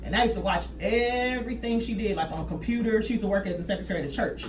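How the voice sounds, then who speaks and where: A woman chats casually on a podcast, stressing her points by repeating them. Her voice sits at a medium pitch. She is picked up from a distance in an echoey room with faint noise behind her. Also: rapid-fire. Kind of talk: casual conversational podcast talk.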